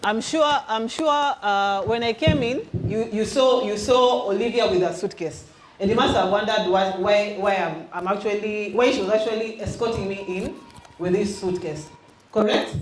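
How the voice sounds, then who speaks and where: A woman's voice: medium pitch, normal speed. A middle-aged woman from Western Kenya with a Kenyan accent, a youth minister leading an interactive teaching and quizzing her audience. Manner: engaging, quizzes the audience, mixes in Swahili. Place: church hall. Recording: echoey hall with faint noise.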